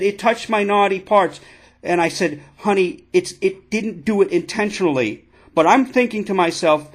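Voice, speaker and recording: A man playing an indignant father making an absurd complaint on a prank call, halting and repeating himself insistently. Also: high-pitched, a bit bright. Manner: halting, repetitive, insistent, addressing listener as ma'am. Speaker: prank caller.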